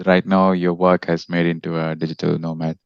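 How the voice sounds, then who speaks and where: A man's voice: low voice, little pitch movement, brisk, creaky, clear, resonant tone, dry recording, dull audio. A man from India hosting a casual podcast interview, friendly, restarting his sentences often. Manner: friendly, with frequent restarts and fillers. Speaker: podcast host.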